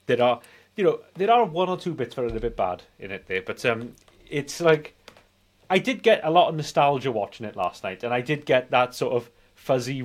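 Rambling British man in his forties. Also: medium pitch. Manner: rambling, nostalgic. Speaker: film review podcast co-host. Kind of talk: casual chat.

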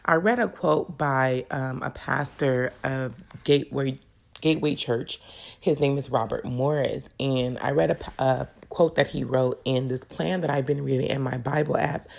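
A woman's voice: rumbling low, normal speed, gravelly. A woman hosting a faith podcast in an earnest, personal, encouraging way, her voice crackly and congested from a cold. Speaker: podcast host.

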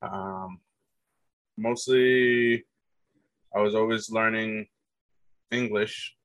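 A man's voice: lowish voice, steady tone, extremely slow, a bit bright, dry recording. A man telling stories from his youth in a loose, rambling way, full of fillers and restarts. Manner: rambling, many fillers and restarts, says "dude". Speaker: guest telling his life story. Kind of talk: casual conversation.

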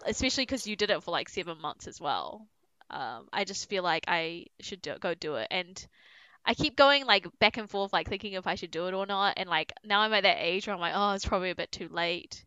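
Young nz woman hosting a podcast, super lively up-and-down voice, lots of "like", dry close sound. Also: on the low side, fairly quick, clear, resonant tone, no room sound, muffled recording. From New Zealand. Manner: chatty, full of "like" fillers, laughs often. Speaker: podcast host. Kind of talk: casual chat with a friend.

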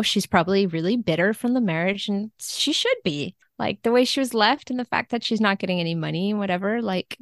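A woman's voice: mid-range voice, no room sound, studio quality. A woman speaking as a podcast guest in a casual interview, enthusiastic and informal about her work as a literary translator.

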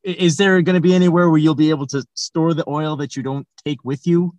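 A man with a slightly high voice hosts a casual comic interview. He responds as a dry, bemused straight man, often hesitating and restarting as he works through what he is told. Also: steady tone, fast, a little mellow. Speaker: podcast host.

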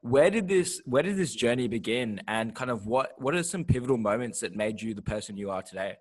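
A man in his twenties co-hosts a podcast in a casual interview. His voice sits in a middle pitch, and his questions are relaxed and thoughtful. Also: brisk. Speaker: podcast co-host.

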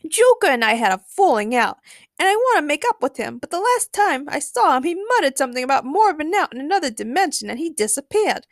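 A lovesick young female prankster put on by a woman reader with a high, swooping voice. She is dramatic and gushing, full of old-fashioned slang, in a comic story. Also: big pitch swings, brisk, no room sound, clean studio sound. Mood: wistful.

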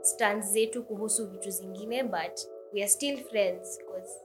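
A woman in her twenties with a Kenyan accent, co-hosting a casual podcast in a soft, hesitant way. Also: mid-range voice, fairly even intonation, a little slow, music in the background. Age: 20s. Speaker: podcast co-host. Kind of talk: casual podcast chat mixing English and Swahili.

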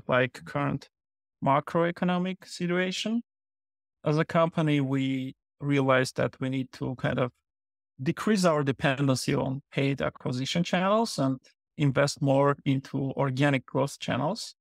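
A man speaks as a podcast guest, building his answers in measured, short phrases. His voice has a gravelly, fry-edged texture and sits at an ordinary middle pitch. The sound is close and dry. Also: dry recording. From Armenia. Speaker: guest, product lead. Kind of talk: podcast interview.

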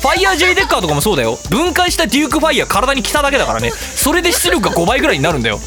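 Man, radio host, high voice, fast talker, geeky comedic monologue, acts out quotes. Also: over music. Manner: animated geeky rambling, acting out quotes and exclamations. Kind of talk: casual comedic monologue for an internet radio show.

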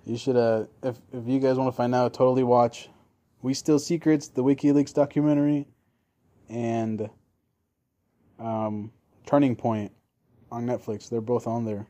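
A relaxed young man hosting a loose chat with friends, his first language Spanish, easygoing and quick to laugh. His voice sits mid-pitch with a dark, mellow tone. Filler words like "dude" and "like" run through his talk. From moved to the US at seven. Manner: laid-back, laughs often, says "dude" and "like". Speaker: podcast host. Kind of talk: casual chat among friends.

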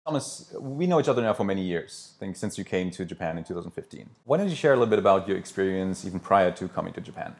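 A very deep-voiced man hosts a business interview as a consultant. He speaks quickly and fluently, framing each question briskly. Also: expressive.

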